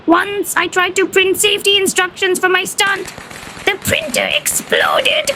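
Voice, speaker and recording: A woman's voice: very high voice, huge pitch swings, unhurried, pushed and bright, slight background noise, heavily acted. A woman voicing a frazzled daredevil puppet, melodramatic and indignant, in a comic puppet interview. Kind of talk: comic puppet vox pop.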